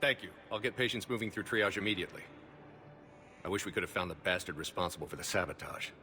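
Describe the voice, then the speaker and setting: Dutiful game medic, earnest, low and forceful, brisk pace. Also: male voice. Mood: earnest, relieved. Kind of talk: video game voice acting.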